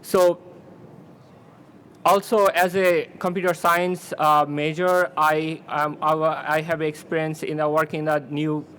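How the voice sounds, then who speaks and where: Halting young man. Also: on the high side, little pitch movement, a bit bright, a little hiss or hum. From Bangladesh. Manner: hesitant, halting, with fillers. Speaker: student candidate. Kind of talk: campaign speech.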